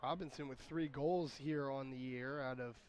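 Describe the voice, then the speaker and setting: A man with a raspy, hoarse voice doing solo play-by-play commentary of a soccer match, chatty and quick to correct himself. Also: mid-range voice, fairly even intonation, a little mellow, a little hiss or hum. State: hoarse voice recovering. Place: outdoor stadium.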